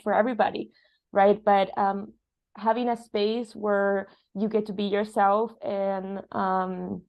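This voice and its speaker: Earnest, creaky-voiced woman being interviewed. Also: medium pitch, muffled recording. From born in Argentina, grew up in Spain and Italy. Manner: earnest, reflective. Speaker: guest, dance filmmaker. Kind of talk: conversational interview, personal reflection.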